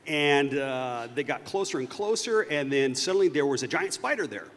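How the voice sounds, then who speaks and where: A man telling a story off the cuff as a panelist at a live fan panel, speaking in a halting, thoughtful way. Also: fairly high pitch, sing-song, fairly bright tone. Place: large convention stage. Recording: echoey hall PA with faint noise.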